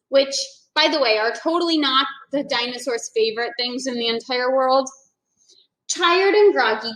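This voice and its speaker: Animated woman reading a storybook aloud. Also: sits high. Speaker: teacher. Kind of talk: reading a picture book aloud. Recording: echoey room.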